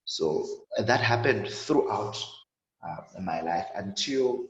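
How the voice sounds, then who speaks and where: A young man in his twenties, from sub-Saharan Africa, tells his own story on an online panel. His voice sits very low, and he talks haltingly with many repeats and fillers. His call comes from an echoey room. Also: animated, muffled recording. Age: 20s. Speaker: panel guest.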